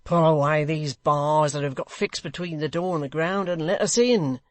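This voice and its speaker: A man voicing a hulking, impatient male rioter who shouts roughly. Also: fairly high pitch, normal speed, dry recording, natural voice.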